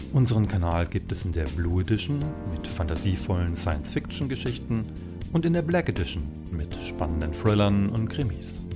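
A man reading a scripted channel announcement as its announcer. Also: deep voice. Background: guitar music.

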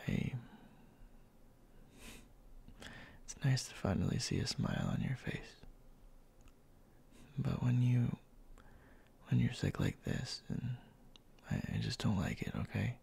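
A man playing a bossy but tender young boyfriend in a soft, intimate ASMR roleplay, speaking in a halting, breathy murmur that often drops to a whisper. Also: on the low side, slight background noise, heavily acted. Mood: worried, soothing. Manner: halting, murmured, scolding yet caring.

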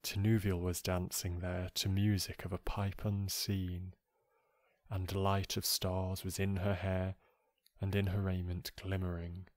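A man with a soft voice reading poetry aloud in a measured, gentle, calming way. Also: sits low, no echo. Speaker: poetry reader. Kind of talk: slow, calm recited poetry reading for relaxation.

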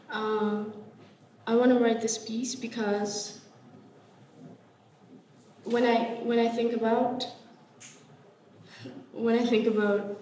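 A woman's voice: on the high side, warm tone, reverberant, distant mic, slight background noise, rough recording. A young woman from Ethiopia, a student journalist, answering earnestly and searching for her words in a classroom exercise. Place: classroom workshop, indoors.

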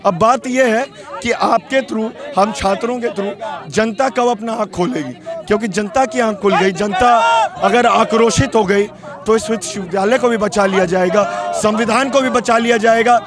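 Young man, student activist, high voice, fiery, handheld mic, loud crowd behind. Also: moderate pace. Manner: forceful, fiery political speech. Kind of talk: street interview at a protest. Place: outdoor street protest. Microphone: handheld reporter mic. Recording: outdoor protest with crowd behind. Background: crowd noise.

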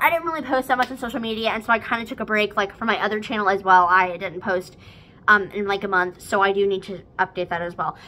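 A woman's voice: on the high side, sharp tone. A teenage girl vlogging casually from her living room, rambling with lots of fillers into a phone camera. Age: teens. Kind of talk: casual vlog chat. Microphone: phone camera at arm's length.

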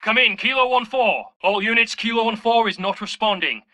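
A man's voice: sits high, brisk, bright, forceful tone. A man voicing a tense soldier making clipped, urgent military calls in a video game. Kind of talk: video game radio dialogue.

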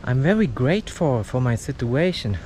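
A young man chatting casually in halting, simple phrases as he records a walking vlog. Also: medium pitch, big pitch swings, darkish tone. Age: young adult. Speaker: walking vlogger. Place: outdoors, suburban street and park path. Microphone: action camera at arm's length, no windscreen. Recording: windy outdoor camera mic, street noise.

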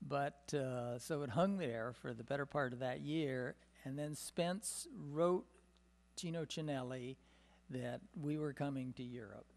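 An older man with a gravelly voice talks into a desk microphone in a meeting room. He tells long, rambling stories from his past, full of "uh" and "um" fillers and small chuckles. His voice sits at a middling pitch for a man. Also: unhurried, darkish tone. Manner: storytelling with many "uh" and "um" fillers, chuckling. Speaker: symposium panelist. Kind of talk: rambling personal reminiscence. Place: meeting room, seated at a table. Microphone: desk microphone on a stand.

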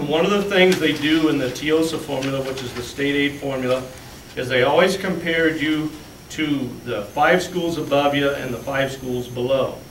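A man's voice: on the high side, normal speed, hardly any pauses. A man explaining budget figures step by step to a school board in a large meeting room, recorded from a distance. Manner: plainspoken, walks listeners through handouts step by step. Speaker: school business official presenting budget. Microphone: far room camera. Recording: echoey room, distant mic, faint hum.

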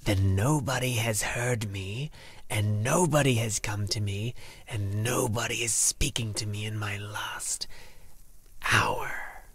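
Storybook werewolf, old and dying, very slow, breathy whispered growl, bitter, menacing, scornful. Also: male voice, lowish voice, a bit bright, dry recording, heavily acted. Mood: weary menace. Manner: slow, drawn-out, scornful delivery.

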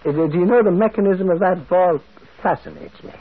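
A man's voice: high-pitched, a little fast, husky. An actor playing a mild, inquisitive priest sleuth in an old radio drama, hesitant and repeating his words. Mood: politely probing. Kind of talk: 1940s radio acting. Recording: muffled, phone-like old radio recording.

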